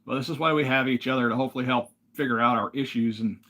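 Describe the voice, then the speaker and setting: Gravelly-voiced man chatting on a livestream. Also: mid-range voice, fast talker. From Alaska. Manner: relaxed, rambling, reads viewer comments aloud. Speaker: livestream host. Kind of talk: casual livestream chat.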